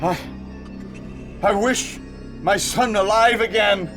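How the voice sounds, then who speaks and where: An actor playing a frightened old husband in an audio drama, stammering and pleading. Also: male voice, high voice, slow, over music. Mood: reluctant, fearful. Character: terrified, pleading old husband and bereaved father. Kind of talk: full-cast audio drama acting.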